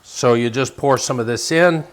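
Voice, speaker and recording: A man's voice: mid-range voice, moderate pace, a little hiss or hum. A man from Wisconsin with an American accent, casually chatting as he hosts a cooking show in a folksy, encouraging way. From Wisconsin, United States. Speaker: host of a lifestyle and cooking show. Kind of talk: casual cooking demonstration and chat.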